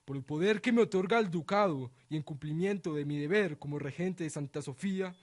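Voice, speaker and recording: A man's voice: fairly high pitch, fairly quick, natural voice. A man playing a cruel, self-righteous regent in a radio drama, declaiming pompously as he passes judgment. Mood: stern, accusing.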